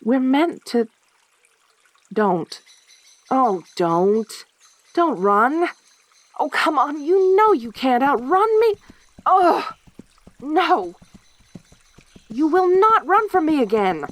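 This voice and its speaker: A woman playing a lonely, possessive centaur girl in a soft-spoken audio roleplay, with a halting, stammering delivery. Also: high voice, sing-song, no echo, exaggerated voice. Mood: desperate, forceful.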